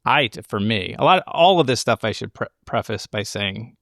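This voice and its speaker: A man's voice: fairly low pitch, animated, moderate pace, gravelly, a bit bright, no echo, clean studio sound. A man hosting a film podcast, explaining his take in casual, think-aloud analysis. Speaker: podcast host.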